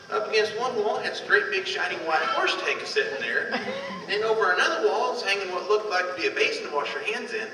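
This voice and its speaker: Male comic for homespun monologue, lively swooping delivery, drawn-out rustic storytelling, heard from afar in a reverberant hall. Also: high voice. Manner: drawn-out setups, countrified storytelling in character. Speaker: amateur comedian. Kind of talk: folksy old-time stand-up monologue. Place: large hall in front of a live audience. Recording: echoey hall from far off, noisy.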